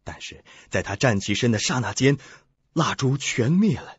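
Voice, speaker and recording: A man's voice: medium pitch, lively intonation, moderate pace, lo-fi sound, noisy background. A man narrating a suspense audio drama in a dramatic, scripted storytelling style. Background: music.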